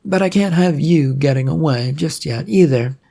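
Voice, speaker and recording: Bored, brusque gunslinger woman for story narration, played by the narrator. Gravelly, sardonic, impatient. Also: mid-range voice, moderate pace, warm tone. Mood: bored, breezy.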